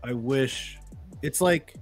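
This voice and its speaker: A man's voice: mid-range voice, takes it slowly, soft background music. A man co-hosting an interview on a video call, talking casually and enthusiastically in a rambling way. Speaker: co-host. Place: home studio, video call.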